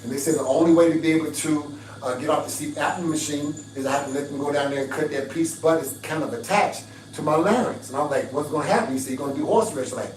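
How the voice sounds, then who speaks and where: A raspy-voiced middle-aged man on a livestream, talking straight to his viewers in an emphatic, preachy way. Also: a little high, quick pace, distant mic. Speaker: fitness livestreamer. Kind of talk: casual livestream pitch to viewers. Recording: roomy livestream audio from off-mic with faint hum.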